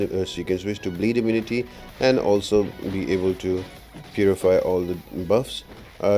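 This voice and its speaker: Male gaming guide host, low and dark-toned, casual and explanatory, recorded with faint noise behind. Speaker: gaming video host giving a boss guide. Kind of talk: casual gameplay tutorial commentary.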